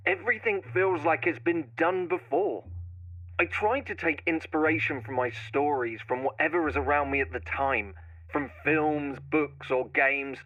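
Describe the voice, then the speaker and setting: A man playing a brooding, obsessive horror writer who turns menacing in a slow, deliberate first-person scripted monologue with dramatic pauses. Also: a little high, sharp tone, no echo, highs cut off.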